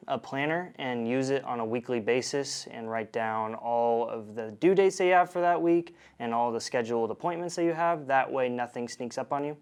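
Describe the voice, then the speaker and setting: A young man, a college senior from Oregon, giving steady, practical advice to new students. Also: medium pitch, clear, resonant tone. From Oregon, USA. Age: young adult.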